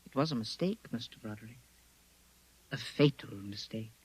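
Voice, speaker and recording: An actor in a 1950s radio drama playing a cruel, polite Martian invasion leader who speaks with deliberate, gloating menace. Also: male voice, on the low side, breathy edge, no room sound, poor audio. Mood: suavely menacing. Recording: vintage 1950s radio recording.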